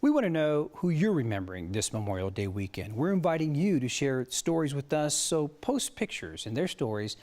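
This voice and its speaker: A man's voice: on the high side, very animated, moderate pace, hardly any pauses, a little mellow. A man with an American accent reading scripted news stories as a television anchor, with some banter.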